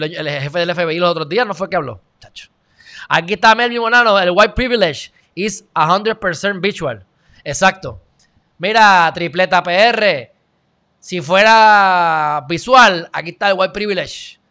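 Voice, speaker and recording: A man in his thirties with a Puerto Rican accent, hosting a live stream and reading viewer comments close to a studio mic, animated and shifting between loud and quiet. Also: high voice, a little slow. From Puerto Rico. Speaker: host. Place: small indoor studio. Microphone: studio mic on stand, close.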